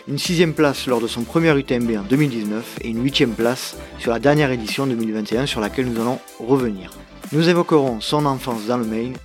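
A man hosting an interview podcast, speaking in a presenter's introductory and questioning style. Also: mid-range voice.

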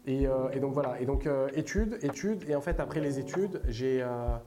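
A man's voice: mid-range voice, lively intonation, moderate pace. A man in his thirties, a native Italian speaker, co-hosting a podcast, chatting casually with many fillers and restarts. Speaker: podcast co-host. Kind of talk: casual chat.

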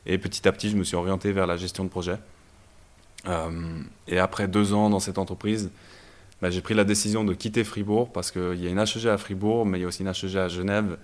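A young man from Belgium, a startup founder telling his story in a casual interview, relaxed and hesitant with many fillers. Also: low-pitched, fast. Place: startup incubator office.

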